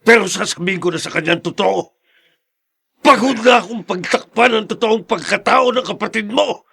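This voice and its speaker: An actor playing a weary middle-aged father burdened by a family secret, speaking gravely and insistently with a husky, gravelly voice. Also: sits high, normal speed, bright, forceful tone, heavily acted. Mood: angry. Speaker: father figure named Mariano. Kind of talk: radio-style drama acting.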